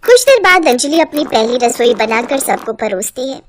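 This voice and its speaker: A woman's voice: on the high side, big pitch swings, moderate pace, slight background noise. A woman narrating a scripted family story as a storyteller.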